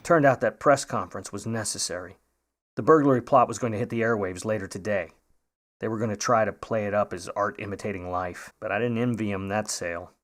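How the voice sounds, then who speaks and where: An actor playing a grudging, wry, world-weary 1950s Hollywood private eye, narrating in old-time radio noir style. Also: male voice, on the low side, fairly quick, lightly acted. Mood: musing, wry.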